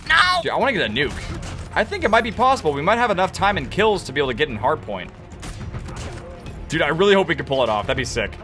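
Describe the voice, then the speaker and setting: A young man giving excited gameplay commentary from his home setup on a headset, his voice cracking and hoarse as he swings between mutters and shouts. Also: a little high, quick pace, soft background music. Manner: hyped, exclamatory, jumps between mutters and shouts. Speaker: gaming YouTuber. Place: home gaming setup, indoors.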